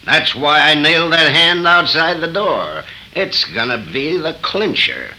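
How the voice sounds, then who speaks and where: Forceful, slow-talking villain. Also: male voice, a little high, very animated, heavily acted, low-quality recording. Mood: menacing, commanding. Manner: theatrical, slow, intense. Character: menacing, ruthless gunman turned terrified, guilt-haunted wretch. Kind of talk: 1930s radio horror acting. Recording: old 1930s radio transcription recording.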